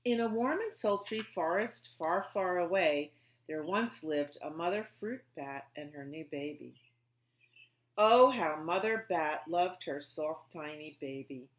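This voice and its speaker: A woman leads a storytime for small children. Her pitch sits a little low for a woman and her pace is very unhurried. She talks in simple, child-directed phrases, leaving gaps and posing questions as she goes. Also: animated. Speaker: library storytime host. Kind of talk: children's storytime with songs, rhymes and read-aloud.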